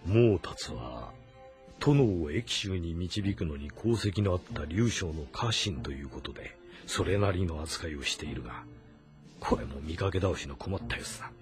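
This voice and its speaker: A man's voice: low-pitched, animated, measured pace. A man playing a proud, stern middle-aged general in a grave, disapproving inner monologue from a historical drama. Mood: stern exasperation. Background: background music.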